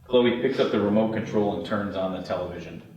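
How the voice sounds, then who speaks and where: Low-voiced man narrating in an echoey room. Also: a little fast, darkish tone, dull audio. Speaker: narrator reading stage directions. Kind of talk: scripted narration at a screenplay table read. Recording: very echoey room, distant, faint noise.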